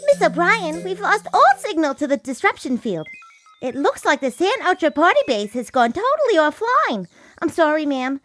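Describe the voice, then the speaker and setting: A deferential, nervous henchwoman played by a woman in an audio drama, very high and lively in pitch. She reports apologetically over faint music. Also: normal speed. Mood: nervous reporting. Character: nervous, deferential female villain's underling.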